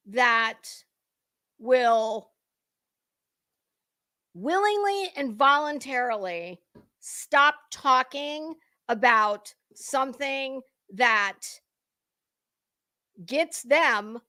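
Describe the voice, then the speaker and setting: High-pitched, blunt woman ranting. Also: sharp tone. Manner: blunt, sweary, confrontational. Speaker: online commentary creator. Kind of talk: unscripted gossip commentary rant to viewers.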